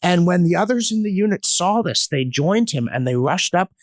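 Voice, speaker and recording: A man with a fairly high-pitched voice talks in a dry, close recording. He is middle-aged, articulate and emphatic, explaining his opinions like a lecturer in conversation. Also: lively intonation, normal speed, dry recording. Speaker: podcast guest, history commentator. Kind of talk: opinionated conversational discussion with anecdotes.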